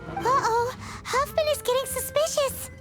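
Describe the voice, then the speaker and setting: A woman voicing a tiny, excitable childlike fairy companion in an anime-style game, squeaky and exclamatory. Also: a little slow. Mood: nervous. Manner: exclamatory, speaks of self in third person. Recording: loud music under voice.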